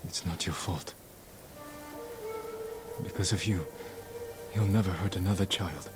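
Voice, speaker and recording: Deep, solemn samurai man. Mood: gentle, steady. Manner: solemn, measured, resolute. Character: stern, loyal samurai man bent on justice. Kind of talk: dramatic video game acting. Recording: music behind the voice.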